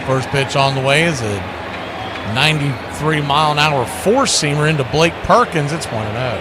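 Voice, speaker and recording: A man with a fairly high voice calls a baseball game play by play. He is conversational, stretching out some calls and slipping in quick asides. Clear background noise runs under his voice. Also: expressive. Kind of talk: live play-by-play commentary.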